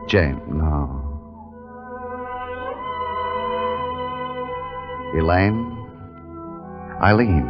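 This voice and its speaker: An actor playing a measured, authoritative army captain who narrates his wartime mission in a 1950s radio drama. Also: a bass voice. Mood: wistful, dreamy. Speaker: narrator and commanding officer. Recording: dull 1950s radio recording with music.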